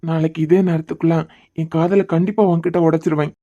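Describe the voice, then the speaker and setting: A man playing a young man secretly in love, speaking softly and poetically. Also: on the high side, flat delivery, quick pace, muffled recording, lightly acted. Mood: soothing. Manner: soft, tender and poetic. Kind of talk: romantic audio drama acting.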